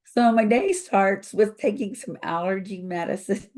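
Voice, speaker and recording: An older woman, a company founder, speaking slowly and thoughtfully over a video call with a froggy voice from recent illness, pausing often with fillers. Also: mid-range voice, expressive, a little mellow. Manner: slow, thoughtful, many pauses and fillers. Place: home office with bookshelves.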